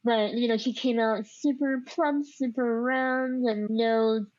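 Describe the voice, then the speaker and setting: A woman's voice: fairly high pitch, fairly even intonation, slow pace, a little mellow, no room sound, highs cut off. A woman with a speech impediment, telling a personal story in an informal, reflective interview style, peppered with "like". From born overseas in Vietnam, grew up in the United States. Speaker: podcast guest telling their life story.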